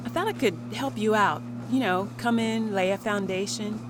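A woman's voice: mid-range voice, normal speed, over music, natural voice. A woman playing an ambitious, persistent junior TV producer in a naturalistic audio drama. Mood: flustered.

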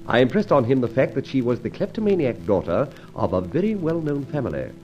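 A suave gentleman adventurer in an old radio serial. His pitch swings widely as he talks, arch and playfully pompous. The recording is dull and lo-fi, with faint music. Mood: wry narration.

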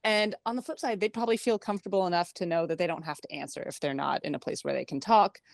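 A woman's voice: on the low side, fast, vocal fry, no echo, studio quality. A woman tech reporter, a radio guest, talking in a chatty, wry way and laughing easily. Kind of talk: radio interview.

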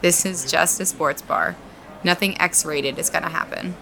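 Confident woman farm owner, audio drama, bright forward voice, blunt, dry teasing manner. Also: fairly low pitch, unhurried, vocal fry, slight background noise, full character voice, rough recording. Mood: teasing, relaxed. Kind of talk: naturalistic audio drama acting.

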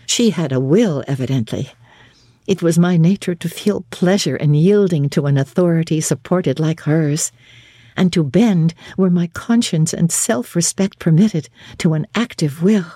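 Lady reading an old novel dramatically, deliberate phrasing, lowish mellow voice, dry close sound. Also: breathy edge, dry recording. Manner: measured, deliberate, formal phrasing. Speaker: audiobook narrator. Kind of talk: dramatic literary reading, scripted narration.

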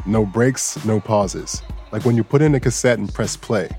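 A man hosting a news podcast, narrating a personal story in a relaxed, lightly joking way. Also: on the low side, moderate pace, over music. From Bangkok, Thailand. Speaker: guest host and audio engineer.

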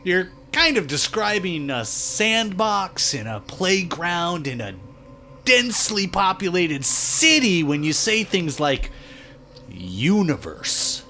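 A laid-back, cryptic drifter in an audio drama. He talks slowly and offhandedly, with animated pitch and a wry, condescending edge. Faint ambience sits behind his voice. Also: slight background noise, full character voice. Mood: breezy, offhand. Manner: offhand, casual, wryly condescending. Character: laid-back, cryptic cosmic drifter doing odd jobs. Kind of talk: audio fiction dialogue.

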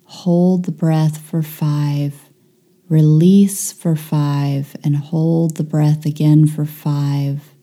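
A woman guides a meditation in a deeply soft, dark and relaxed voice. She speaks extremely slowly, leaving long pauses between short instructions. Her delivery is calm and soothing, with very little movement in pitch.

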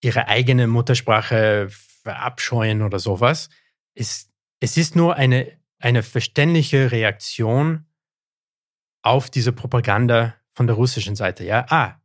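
A man's voice: mid-range voice, dry recording. Canadian man speaking German, dry close sound. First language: English. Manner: thoughtful, hesitant with frequent fillers and restarts. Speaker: guest historian. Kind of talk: podcast interview.

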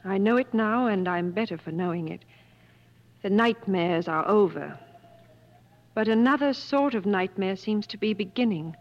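An actress playing a troubled, refined wife with a dramatic, heartfelt delivery in 1950s radio style. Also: female voice, mid-range voice, moderate pace, lightly acted. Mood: confessional, troubled. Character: troubled, refined English wife searching for lost husband. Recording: muffled old 1950s radio broadcast.

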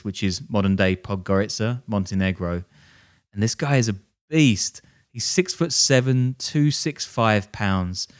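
A man's voice: fairly low pitch, clear, resonant tone. A man hosting a podcast, telling a crime story in a jokey, self-deprecating way and laughing as he goes. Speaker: podcast host. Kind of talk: casual storytelling chat.

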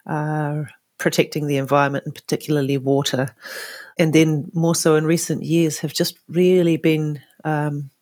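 A woman, a guest answering interview questions thoughtfully, with frequent pauses and restarts. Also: sits low, dry recording, clean studio sound. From New Zealand. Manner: thoughtful, many restarts and fillers. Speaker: guest, author and poet. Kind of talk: hesitant conversational interview.

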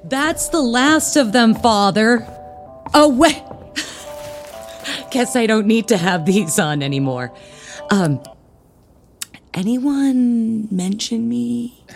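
A bubbly, nosy church helper in a comedy audio drama. Her fairly high voice is expressive and takes its time, tripping into flustered stammers over faint music. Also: very slow, gravelly, pauses often, heavily acted. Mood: flustered, chirpy. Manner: flustered, chirpy, stumbling over words. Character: bubbly, nosy female church youth helper named Clara.

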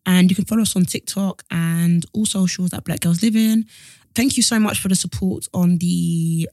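A woman in her twenties co-hosting a podcast, telling stories in an animated, exasperated way. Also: on the low side, normal speed, no room sound, studio quality. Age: 20s. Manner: animated storyteller, exasperated asides. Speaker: podcast co-host. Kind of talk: casual chat.

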